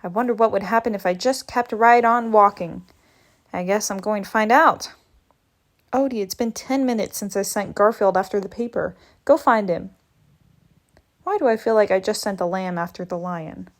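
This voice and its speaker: Woman reading a comic aloud. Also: moderate pace. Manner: lively, shifts pitch for each character. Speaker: read-aloud narrator. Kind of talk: reading a comic book aloud, doing all the voices.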